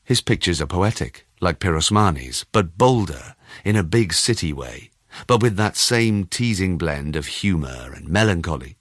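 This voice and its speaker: A man narrating a first-person short story in a measured, expressive storytelling manner. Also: deep voice, dry recording, clean studio sound. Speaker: audiobook narrator.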